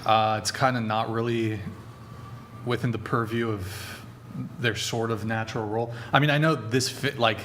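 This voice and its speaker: A man hosting a podcast panel, joking and hesitant, with lots of fillers, speaking into a desk mic in a bright studio. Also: mid-range voice, steady tone, normal speed, a little hiss or hum. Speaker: podcast host. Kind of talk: casual panel chat. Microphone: desk mic on arm.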